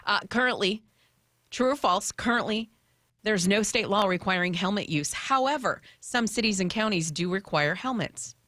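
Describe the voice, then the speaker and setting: A woman hosting lively, unscripted radio chat and putting questions to colleagues at a close studio microphone. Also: mid-range voice, moderate pace, no echo. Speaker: radio traffic reporter and quiz host.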